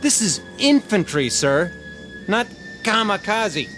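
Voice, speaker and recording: An actor playing an exasperated infantry soldier in a radio drama, protesting in an emphatic, pleading manner. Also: male voice, high-pitched, sing-song, slow, pushed and bright, faint music behind, heavily acted.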